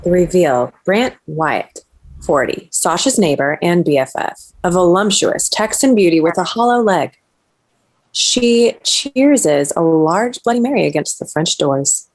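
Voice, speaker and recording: A woman narrates stage directions from a script. Her voice sits a little low. The delivery is scripted screenplay narration. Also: expressive, measured pace, a little mellow, rough recording.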